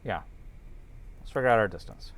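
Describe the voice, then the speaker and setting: A man's voice: fairly low pitch, big pitch swings, moderate pace, creaky, fairly bright tone. A man teaching an informal class, thinking aloud as they work through calculations and checking in with students.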